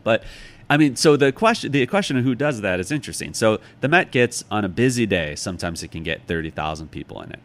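A man's voice: lowish voice, very animated, quick pace. An American man talking in a conversational interview, explaining things reflectively as a guest. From Chicago, United States. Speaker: interview guest, author and former museum guard. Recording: clearly noisy background.

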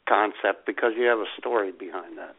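A man co-hosting a casual talk show who makes dry, deadpan asides. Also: on the low side, brisk, husky. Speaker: co-host. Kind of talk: casual radio chat. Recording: tinny phone line.

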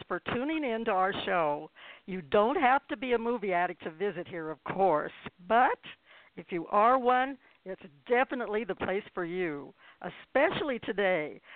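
Talk radio host: woman, conversational and deliberate, medium-pitched, thin phone-line sound. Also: dry recording. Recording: phone-like narrow-band audio.

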